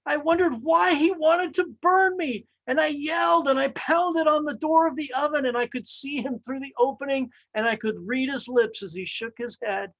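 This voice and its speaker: A woman voicing a dramatic, indignant talking teacup in a storybook tale, pleading with drawn-out, emphatic exclamations. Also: sits high, voice pushed up, low-quality recording. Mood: distressed, pleading.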